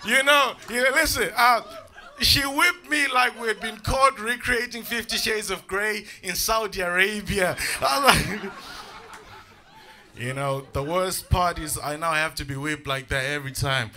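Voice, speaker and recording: A young man from Zimbabwe doing a stand-up set on a comedy club stage with a handheld mic, animated and laughing at his own jokes, jumping into shouted bits. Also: sits high, measured pace. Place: comedy club stage before a live audience.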